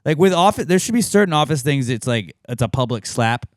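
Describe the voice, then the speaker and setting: A man's voice: mid-range voice, expressive, a little fast, no echo, clean studio sound. A man co-hosting a podcast in casual comedic chat, joking and reading out listener submissions. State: voice recently shot from shouting. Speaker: podcast co-host.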